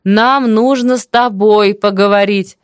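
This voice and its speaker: Woman acting a feisty young woman, loudly insistent. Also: on the high side, expressive, a little slow, clear, resonant tone, dry recording, studio quality, pitched above the performer's own voice. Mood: exasperated shouting. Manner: emphatic, shouted short phrases. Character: feisty, impatient young village woman shouting to deaf neighbor.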